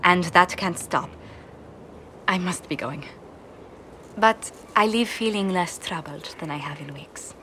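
A woman's voice: fairly low pitch, slow, pauses often, sharp tone, slight background noise. A refined, courteous lady diplomat. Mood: apologetic, polite. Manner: proper, courteous, articulate. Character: refined, busy, polite female diplomat. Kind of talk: video game dialogue acting.

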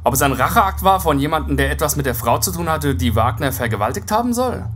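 A junior detective in a crime radio play. The voice is mid-pitched and lively in intonation, puzzled and keen with questions. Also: fast talker, faint music behind. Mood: puzzled, inquiring. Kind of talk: German audio drama acting.

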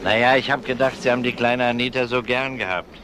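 An actor playing an easygoing, polite but probing police detective in a German crime radio drama, casual and understated. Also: male voice, mid-range voice, steady tone, fast talker, background noise, lightly acted.

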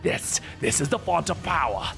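A man voicing a slow, gloating otherworldly horror. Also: fairly high pitch, big pitch swings, gravelly, a bit bright, soft background music, exaggerated voice. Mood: menacing. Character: ancient mocking male horror from beyond.

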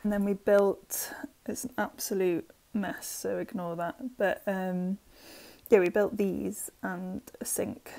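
A young woman giving a relaxed, chatty walkthrough of her land and barn, with plenty of fillers and soft laughs, recorded on an earphone mic outdoors. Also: mid-range voice, takes it slowly, creaky, no room sound. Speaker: vlogger. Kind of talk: casual walkthrough narration.

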